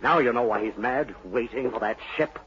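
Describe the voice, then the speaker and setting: An actor playing the haunted, brooding son of a mad sea captain in a dramatic, insinuating 1940s radio style. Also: male voice, mid-range voice, moderate pace, close to an everyday voice. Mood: bitter, intense. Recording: muffled, hissy 1940s radio broadcast.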